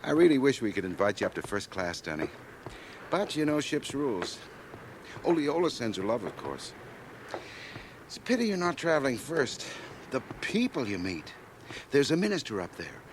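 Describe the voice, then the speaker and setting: A man's voice: mid-range voice, big pitch swings, moderate pace, a little hiss or hum, close to an everyday voice. An actor playing a glib, self-satisfied young man with ambition, breezy and pompous in radio drama dialogue. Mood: smug, expansive. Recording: 1980 radio drama, home cassette transfer.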